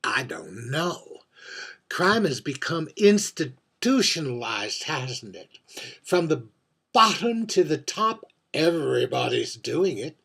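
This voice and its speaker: A man playing an aging drag queen in a theatrical monologue, camp, wry and musing, with a husky voice and sweet little endearments. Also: on the high side, big pitch swings, slow pace, a bit bright, pitched above the performer's own voice, full character voice. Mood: wry, arch. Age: older.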